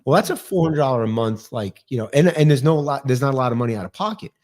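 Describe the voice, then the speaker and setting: A man in his fifties with a New York accent, talking fast as a guest on a podcast. His voice sits at an ordinary middle pitch, and he is energetic and articulate. His talk is casual and full of drive. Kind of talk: casual interview chat.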